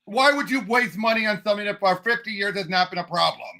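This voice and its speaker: A man co-hosting a casual movie discussion, speaking in a halting way and often repeating himself. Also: sits high, pushed and bright. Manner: halting, repetitive phrasing. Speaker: podcast co-host.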